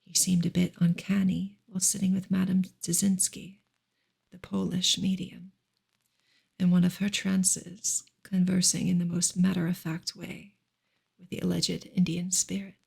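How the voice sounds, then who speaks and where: A woman reading a novel aloud in a soft, hushed, breathy ASMR style, often slipping into a whisper. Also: on the low side, flat delivery, unhurried. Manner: hushed, gentle delivery.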